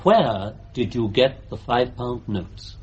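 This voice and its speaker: An actor playing a polite, persistent English defence barrister in a radio courtroom drama, formal and measured. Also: male voice, medium pitch, animated. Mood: probing. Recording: dull, noisy archive radio recording.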